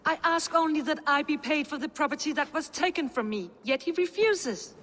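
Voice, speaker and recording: An aggrieved, high-voiced settler. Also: female voice, moderate pace, fairly bright tone. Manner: aggrieved, pointed, formal. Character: aggrieved female settler, wronged property owner. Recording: long hall reverb.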